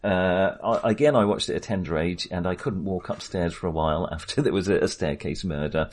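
A man with a fairly low voice speaks as a host in a relaxed discussion of film. He wanders through his thoughts, hedging and qualifying them as he goes. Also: a little fast.

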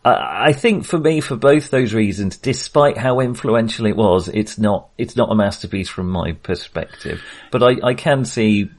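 A middle-aged man co-hosting a film podcast, talking casually in a measured, hedging, wry way. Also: fairly low pitch.

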